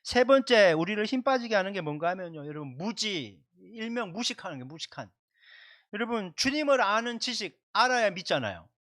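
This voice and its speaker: A man's voice: high voice, sing-song, a little fast, a bit bright. A man preaching as a pastor at a Sunday service, speaking emphatically and directly to his congregation. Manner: emphatic, repeats points and addresses the congregation directly. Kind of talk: Sunday sermon with prayer. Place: church service, livestreamed.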